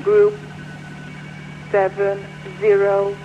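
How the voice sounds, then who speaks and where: A woman announcer reading strings of numbers in a flat, halting, digit-by-digit way over shortwave radio. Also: mid-range voice. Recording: noisy tinny archive shortwave radio recording.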